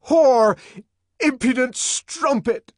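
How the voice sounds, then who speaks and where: A man voicing a wild, raging young man who shouts and declaims verse, in an audiobook. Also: high voice, lively intonation, slow, no room sound, clean studio sound, voice pushed up. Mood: furious. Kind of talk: audiobook character voice.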